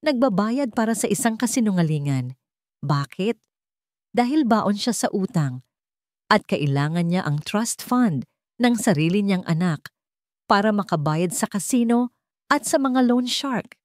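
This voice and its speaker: A woman voicing a cold, calculating businessman and father in a narrated drama, deliberate, commanding and accusing. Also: mid-range voice, lively intonation, darkish tone, dry recording, clean studio sound, lightly acted. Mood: cold accusation.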